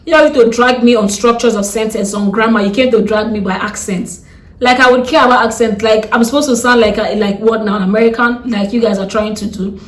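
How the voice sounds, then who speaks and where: A young woman with a strong Nigerian accent talks fast and bluntly straight to camera, fed up and defiant. Her voice sits at a middle pitch for a woman. The room around her is echoey. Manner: fast-talking, blunt and exasperated. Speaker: vlogger. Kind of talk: ranting vlog to camera.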